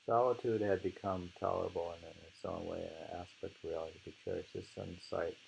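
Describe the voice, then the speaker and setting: A man's voice: sits low, fairly even intonation, fast, gravelly, a little mellow, slight background noise, rough recording. A man reading aloud from a book of poetry and commenting informally, in a rambling, musing manner. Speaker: reader leading a poetry book discussion.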